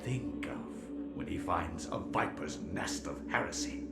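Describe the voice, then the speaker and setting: Deep, gloating villainous inquisitor. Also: male voice, animated, vocal fry, echoey room, loud music behind the voice, exaggerated voice. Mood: smug, mocking. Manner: theatrical, gloating, menacing, drawn-out delivery. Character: sinister heretical inquisitor, smug villainous cult leader. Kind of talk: video game cutscene acting.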